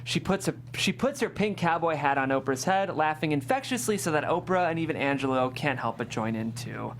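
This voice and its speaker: Middle-pitched stage directions narrator. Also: expressive, fairly quick, slight background noise. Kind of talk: scripted narration at a table read.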